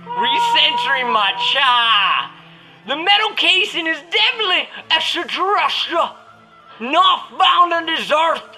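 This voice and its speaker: A woman playing a flippant, rambling professor in a comic parody of a radio drama, loud and goofy. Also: sits high, sing-song, measured pace, exaggerated voice, rough recording. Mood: flippant, explaining. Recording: faint music under the voice.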